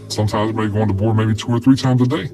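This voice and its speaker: Deep-voiced man recounting a haunting. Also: steady tone, fast, music in the background. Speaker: interviewee recounting a paranormal experience. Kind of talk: documentary testimony.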